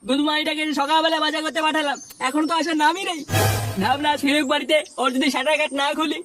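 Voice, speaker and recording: Performer doing an irascible scolding cartoon father, pitched-up voice, crude bluster, distant sound, music behind. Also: steady tone, quick pace. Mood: threatening. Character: irascible foul-mouthed middle-aged village father. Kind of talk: exaggerated cartoon comedy dialogue.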